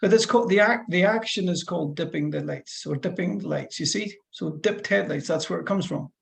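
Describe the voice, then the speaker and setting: Gravelly Scottish man from Fife. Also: high voice, a little fast. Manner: chatty, rambling explanations with restarts. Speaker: driving instructor. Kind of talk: one-to-one lesson over a call.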